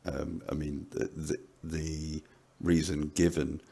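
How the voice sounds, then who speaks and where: A man discussing and commenting in a casual, halting way. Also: very deep voice, level tone, vocal fry, dark tone, slightly rough. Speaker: panelist on a commentary show.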